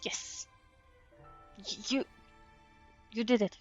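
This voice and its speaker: A woman, a native Russian speaker with a Russian accent, giving casual, halting, encouraging commentary as she streams a game. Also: a little high, fairly even intonation, a little mellow, muffled recording. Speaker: VTuber streamer. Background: faint game music.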